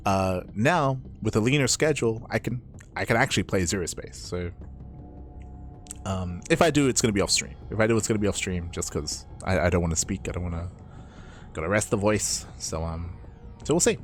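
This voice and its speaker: A man with a somewhat low, hoarse and raspy voice chats loosely while signing off a stream. He rambles and repeats phrases, and faint music plays behind him. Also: animated, a little fast. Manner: rambling, repeats himself, laughs often. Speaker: esports caster. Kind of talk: casual stream sign-off chat.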